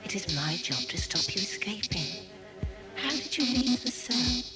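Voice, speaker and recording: A woman playing a calm, politely menacing ship's computer in an audio drama, speaking in a measured, formal, emotionless way. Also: fairly high pitch, over loud music. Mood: politely ominous. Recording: loud sound bed, old cassette audio drama.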